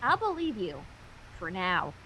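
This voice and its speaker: A woman's voice: on the low side, wildly swooping pitch, measured pace, sharp tone, husky, pitched below the performer's own voice, exaggerated voice, poor audio. A woman voicing a bookish, earnest young female cartoon pony in a prim manner. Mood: cautious. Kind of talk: fan fiction character reading.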